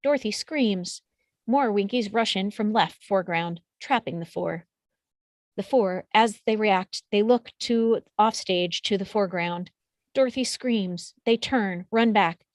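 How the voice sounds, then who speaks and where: Woman narrator, medium pitch, scripted stage directions, close dry recording. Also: unhurried, no echo.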